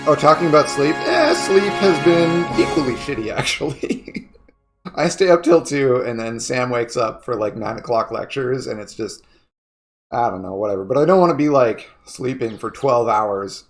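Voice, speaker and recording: A young man with a raspy, sniffly voice, chatting casually to his viewers while streaming a video game. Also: medium pitch, animated, moderate pace. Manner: chatty, reading chat aloud. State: sniffly, coughing. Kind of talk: casual chat while gaming. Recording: game music behind the voice. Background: video game music.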